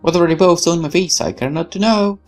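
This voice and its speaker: A man's voice: on the high side, quick pace, soft background music. A man reading horror fiction aloud in a theatrical, intense way, as a gaming host narrating a visual novel. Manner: theatrical, intense, occasional stumbles over words. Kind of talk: dramatic scripted horror narration.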